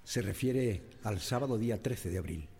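A stern senator of 1912 in a radio drama. His lowish voice is formal and deliberate, ringing with long hall reverb. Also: animated, creaky, warm tone, slight background noise. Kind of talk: radio drama courtroom acting. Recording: long echo of a large hall.

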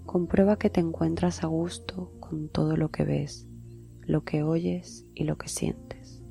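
A woman guiding a meditation in a slow, soft, soothing way, often sinking into a whisper. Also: deep voice, flat delivery, vocal fry, soft background music. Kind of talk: guided meditation.

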